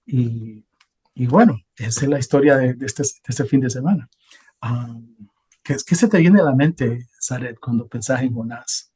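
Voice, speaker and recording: A man from Honduras talks through Bible stories in an easy, unhurried way, pausing often to find his words. His voice has a husky, slightly breathy grain. He sounds like a relaxed podcast co-host. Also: mid-range voice. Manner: relaxed, thinking aloud with many hesitations. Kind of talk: casual conversational talk about Bible passages.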